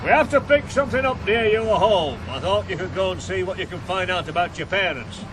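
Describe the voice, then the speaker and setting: High-pitched scolding Northern English farm boss. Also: male voice, lively intonation, fairly quick. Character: gruff farm boss, adult man, scolding but caring. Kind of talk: radio drama acting. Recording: 1980s radio play, faint vehicle noise.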